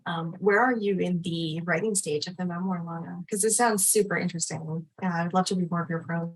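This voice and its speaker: A woman's voice: lowish voice, steady tone, a little fast, breathy edge, poor audio. A woman hosting a discussion as an editor, speaking in a casual, conversational and encouraging way. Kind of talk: casual moderating of an online literary discussion.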